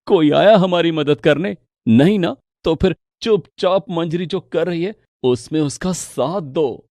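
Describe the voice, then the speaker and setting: A man's voice: on the high side, unhurried, darkish tone, no echo. A man playing an earnest, supportive young husband in a dramatic moral story. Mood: reproachful.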